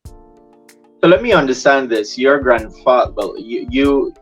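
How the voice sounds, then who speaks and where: A man's voice: mid-range voice, expressive, slow pace, muffled recording, faint music behind. A man hosting an interview, asking probing questions and steering his guest. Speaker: host.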